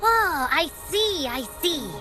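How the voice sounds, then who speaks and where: A playful young woman from a video game, speaking in a high, swooping voice at an unhurried pace. She is curious, expressive and teasing, with music playing behind her. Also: full character voice. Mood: teasing, amused. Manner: expressive, teasing, with musing hums. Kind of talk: video game character dialogue.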